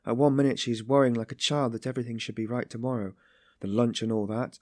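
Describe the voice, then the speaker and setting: A man's voice: mid-range voice, steady tone, fast, a little mellow, no echo, studio quality, natural voice. A man voicing an anguished, meek but passionately compassionate young woman, pleading in breathless exclamations and repetitions for an audiobook story. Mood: sorrowful.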